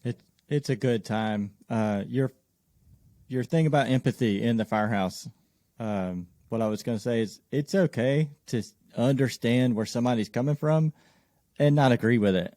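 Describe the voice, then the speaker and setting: A man's voice: medium pitch, normal speed, dark tone, dry recording, clean studio sound. A man chatting casually on a podcast in an easygoing, agreeable manner. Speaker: podcast host appearing as guest.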